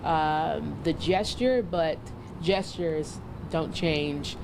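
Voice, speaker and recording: A woman's voice: sits low, drawn-out words, gravelly, noisy background. A woman in her thirties from the southern United States, an activist answering interview questions conversationally. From Chattanooga, Tennessee, United States. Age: 30s. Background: music bed.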